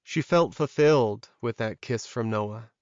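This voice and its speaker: A man's voice: medium pitch, a little slow, darkish tone, no room sound, dull audio. A man narrating a scripted, dramatic fantasy romance audiobook.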